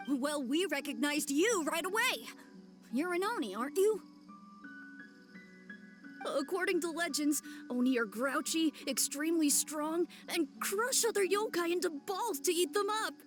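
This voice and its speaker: High-voiced plucky little yokai. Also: female voice, animated, a little slow, soft background music. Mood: wary. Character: plucky little yokai creature, earnest, defiant, childlike. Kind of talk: video game voice acting.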